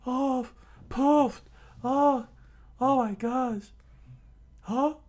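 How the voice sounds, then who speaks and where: A man's voice: very high-pitched, fairly even intonation, drawn-out words, darkish tone, pitched above the performer's own voice, heavily acted. A man voicing a cowardly young male sniper who yelps and panics. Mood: panicked. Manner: yelping, panicky. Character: frantic young male long-nosed sniper, cowardly and shrill.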